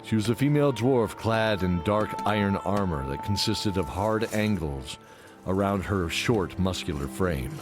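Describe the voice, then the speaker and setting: A man narrating a scripted fantasy story as the narrator of an audio drama. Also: sits low. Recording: music under the voice.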